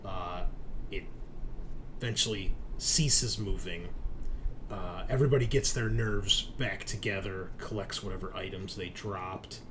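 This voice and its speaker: A man's voice: deep voice, unhurried, noisy background. A man running a tabletop roleplaying game as game master, narrating action and ruling on dice rolls. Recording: music playing behind the voice. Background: music.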